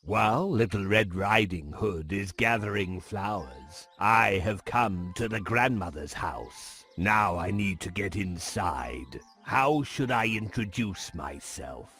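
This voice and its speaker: A scheming male wolf from a storybook cartoon, speaking slowly with big swings in pitch. He is theatrical and slyly sweet, with music behind him. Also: expressive, sharp tone, exaggerated voice. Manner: slow, theatrical, falsely sweet when tricking. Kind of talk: children's cartoon storybook acting.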